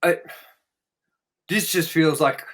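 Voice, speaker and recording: A man's voice: on the high side, slow pace, a bit bright. A man co-hosting a casual film review chat, speaking bluntly and emphatically with plenty of swearing. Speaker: co-host of a movie review show.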